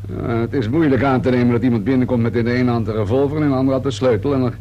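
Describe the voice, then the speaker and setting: Actor playing a shrewd inspector over noisy hum. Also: male voice, mid-range voice, lo-fi sound, lightly acted. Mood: affable, shrewd. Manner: musing, unhurried questioning with a polite, probing edge. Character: wry, methodical police inspector, deceptively affable and shrewd. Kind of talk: radio drama acting. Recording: hum and steady noise behind voice.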